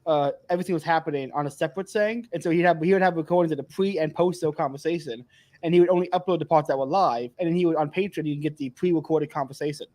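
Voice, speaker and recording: A man's voice: on the high side, racing, a little mellow. A man hosting a live podcast, chatty and rambling, laughing often and a bit tipsy. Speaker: podcast host. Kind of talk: casual chat.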